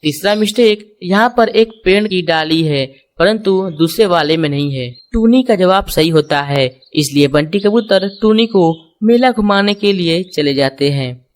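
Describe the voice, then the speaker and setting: A woman's voice: lowish voice, expressive, a little slow, darkish tone. A woman reading scripted children's stories and puzzles, explaining things and speaking directly to the viewers. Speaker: narrator.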